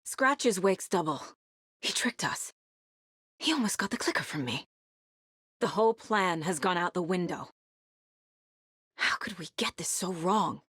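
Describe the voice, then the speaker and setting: A serious, focused female federal agent in a video game, with a lowish voice and a very dry sound. She talks in a matter-of-fact, naturalistic way, like someone working through a case. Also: animated, measured pace, long pauses, a bit bright, breathy edge, dry recording, heavily acted. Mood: businesslike. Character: determined, focused female FBI agent and worried mother. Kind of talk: naturalistic game voice acting, inner monologue and dialogue.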